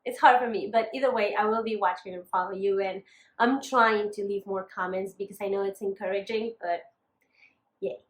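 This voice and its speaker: A woman's voice: mid-range voice, steady tone, moderate pace. A young woman with a northern Mexican accent, chatting candidly in a casual makeup vlog with apologetic asides. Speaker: beauty vlogger. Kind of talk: casual makeup update talking to camera. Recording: echoey room.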